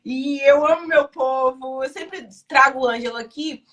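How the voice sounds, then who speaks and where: High, exuberant woman host. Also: moderate pace, fairly bright tone. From Salvador, Bahia, Brazil. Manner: exuberant, chatty, proud. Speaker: live stream host. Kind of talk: casual live chat. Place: indoors in Chicago.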